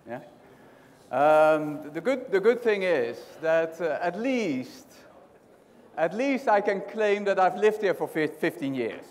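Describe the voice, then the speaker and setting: A man's voice: sits high, big pitch swings, slow. A middle-aged man with a Dutch accent giving a conversational keynote talk, often restarting phrases and asking the audience questions. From Netherlands. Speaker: conference speaker. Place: large hotel conference hall. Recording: hall reverb.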